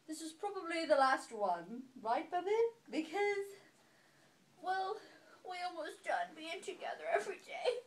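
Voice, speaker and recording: A woman with a high, very animated voice talks to a small child during a read-aloud. Her delivery is playful and sing-song, moving casually between the story and chat. It sounds like a simple home recording. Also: measured pace, slight background noise. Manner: playful, sing-song child-directed talk with dramatic gasps. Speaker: caregiver reading to a small child.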